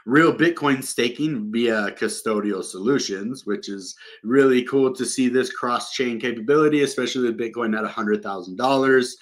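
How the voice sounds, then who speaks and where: A man's voice: mid-range voice, normal speed, few pauses. A young man with a cold, casually talking through crypto market news as a channel host, with lots of ums and uhs. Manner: rambling, many ums and uhs. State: sick with a cold.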